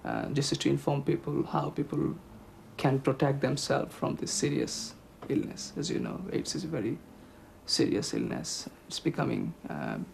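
A middle-aged man from Bangladesh with a Bangladeshi accent, answering interview questions in a measured, hesitant way. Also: mid-range voice, huge pitch swings, creaky, darkish tone, slight background noise. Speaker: health promotion officer interviewee.

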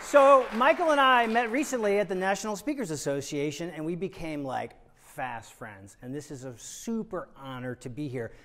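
A man in his forties from New England, giving an animated, emphatic motivational speech on a large auditorium stage. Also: on the high side, moderate pace, hardly any pauses, a faint crowd. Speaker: keynote speaker.

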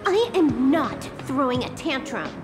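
High-pitched cocky hacker girl. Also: very animated, a little slow, music in the background. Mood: sulky, upset. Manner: casual, sulky, flippant. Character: petulant, cocky young female hacker. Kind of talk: scripted game dialogue.

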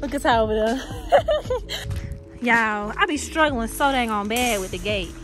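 A woman's voice: fairly high pitch, moderate pace. A young woman chatting playfully on a road trip, teasing her friend in a casual vlog filmed on a phone. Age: young adult. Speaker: travel companion. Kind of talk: casual chat. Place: car and outdoors. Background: background music.

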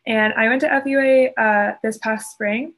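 A woman's voice: a little high, steady tone, moderate pace, fairly bright tone. A college senior in her twenties speaking casually on a video call panel, bubbly and full of likes. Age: 20s. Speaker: student panelist.

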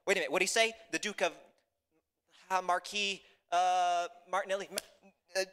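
A man's voice: sits high, steady tone, normal speed, a bit bright, long reverb tail, voice pushed up. A man voicing a simple, confused storybook peasant who is forgetful and stumbles hesitantly over words. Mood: befuddled.

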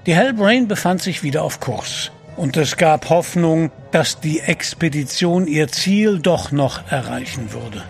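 A man narrating an adventure audio drama in a measured, suspenseful storyteller's manner. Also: fairly high pitch, animated, gravelly, faint music behind.

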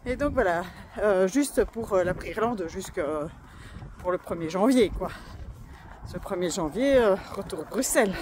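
A woman's voice: mid-range voice, animated, moderate pace, darkish tone. A woman in her thirties chatting casually to a phone camera on a family travel vlog, with lots of hesitations and restarts. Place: outdoors on a windy coast, later in a camper van and a restaurant. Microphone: phone camera at arm's length. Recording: outdoor phone recording with background noise.

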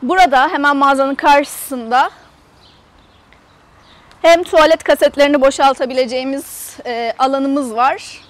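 A woman's voice: high-pitched, fairly even intonation, normal speed. A woman in her thirties giving a chatty, casual guided tour to camera outdoors, explaining things as a travel vlogger. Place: outdoors in an open camper park lot.

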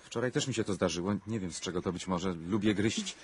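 An actor playing a twenty-year-old tennis coach in a radio drama, earnest, terse and intense, with a volatile streak. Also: male voice, low-pitched, fairly quick, husky. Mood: earnest, uneasy. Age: 20s.

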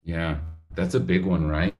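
Energetic man coaching on a video call. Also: deep voice, unhurried. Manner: animated, gestures a lot, talks straight to the viewer. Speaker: public speaking coach. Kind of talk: energetic advice in an interview. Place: home room on a video call. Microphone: studio mic in frame on a video call.

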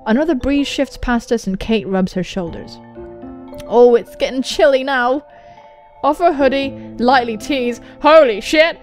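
A young woman, a gaming host, reading visual novel text aloud and commenting on it in a jokey, animated way with casual swearing. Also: high-pitched, measured pace, faint music behind. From England. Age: young adult. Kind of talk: let's play commentary and dramatic reading of on-screen dialogue. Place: indoor room. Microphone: large microphone close to the mouth.

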